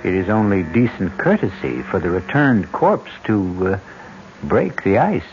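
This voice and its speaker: A man's voice: low voice, sing-song, unhurried. A man hosting and narrating a radio drama in a wry, grandiloquent, theatrical way. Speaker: host and narrator. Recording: muffled old radio recording. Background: music.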